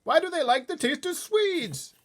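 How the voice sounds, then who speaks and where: Shrill, panicked storybook king. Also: sing-song, moderate pace. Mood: testy. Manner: exclaiming, flustered and outraged. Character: panicked, testy King of Sweden, shrill and indignant. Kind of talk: storybook read-aloud.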